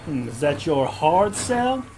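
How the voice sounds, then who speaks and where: A tippling old-west passenger, played by a man, needles people in a folksy drawl. The delivery is wry and the pitch jumps around freely, as in an audio drama with slight background noise. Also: big pitch swings, takes it slowly.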